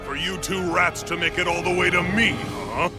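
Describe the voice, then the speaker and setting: A man's voice: sits high, steady tone, fairly quick, close to an everyday voice. An actor playing an arrogant, brutal villain lord in a video game, sneering and contemptuous as he drawls. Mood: sneering contempt. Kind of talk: video game cutscene acting. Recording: loud music under the voice.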